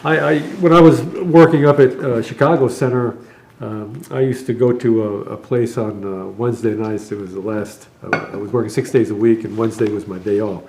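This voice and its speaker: A man's voice: lowish voice, steady tone, fairly quick, a little mellow, slight background noise, poor audio. Older man chatting in an easygoing, meandering way. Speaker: retired air traffic controller, podcast co-host. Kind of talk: casual chat and anecdote.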